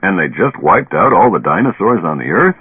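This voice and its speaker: An actor playing a skeptical, brash drinking buddy who is blunt, slangy and needling in a radio play. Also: male voice, deep voice, sing-song, brisk, husky, phone-quality sound, full character voice. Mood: probing. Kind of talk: old radio drama acting. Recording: band-limited old radio recording, echoey.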